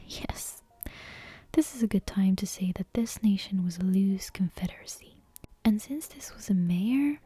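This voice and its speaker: A woman reading a bedtime story as ASMR in a soft, breathy, unvoiced whisper, with hushed and deliberate narration. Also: medium pitch, no echo. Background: soft game soundtrack music.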